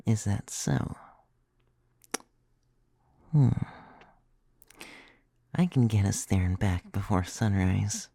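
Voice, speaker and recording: A gentle young femboy vampire, played by a man, whispers tenderly right up close in a very dry ASMR recording. The voice is breathy and mellow with a creaky edge, slow, caring and softly teasing. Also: fairly low pitch, no room sound, exaggerated voice. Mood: playful, courteous. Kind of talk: ASMR roleplay, soft intimate whispering.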